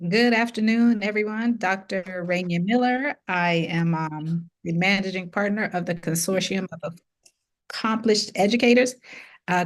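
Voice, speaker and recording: A woman educational consultant introducing herself formally and in a measured way at a committee video meeting. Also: mid-range voice.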